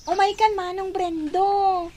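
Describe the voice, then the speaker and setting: A woman's voice: very high voice, fairly even intonation, slow, darkish tone, slight background noise. A woman voicing an anxious, affectionate little girl in a radio drama, with a childlike, plaintive delivery. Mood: meek.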